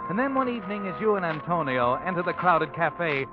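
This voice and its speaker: An actor playing an ominous, knowing narrator in a 1940s radio mystery, telling the story in suspenseful style. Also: male voice, sits high, sing-song, a little fast. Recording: tinny old radio broadcast. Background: prominent music.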